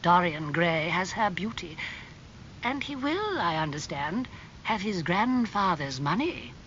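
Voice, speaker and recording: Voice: mid-range voice, very animated, measured pace, a bit bright, muffled recording, a little hiss or hum. A narrator playing a genteel, gossipy aristocratic aunt in a dramatised audiobook. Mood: gossipy, gracious.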